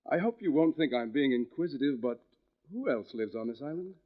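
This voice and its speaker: An actor playing a courteous, inquisitive and intense doctor in 1940s radio drama style. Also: male voice, mid-range voice, animated, brisk, close to an everyday voice. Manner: formal, inquisitive, earnest. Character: courteous, intense male doctor writing about criminal minds. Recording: dull vintage 1940s radio recording.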